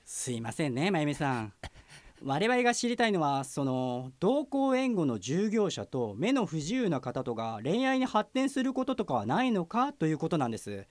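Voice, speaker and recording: Guy playing a courteous deadpan cop in an audio comedy, apologetic, voice moves around a lot, no room sound. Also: fairly high pitch, moderate pace, dry recording. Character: polite, deadpan, apologetic male police detective. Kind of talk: comic audio drama acting.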